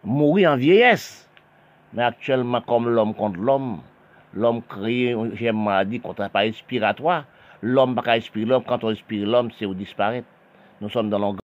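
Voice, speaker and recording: Halting, impassioned man with restarts. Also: medium pitch, lo-fi sound. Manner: halting, repetitive, many restarts and broken phrases. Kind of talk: impassioned spoken monologue.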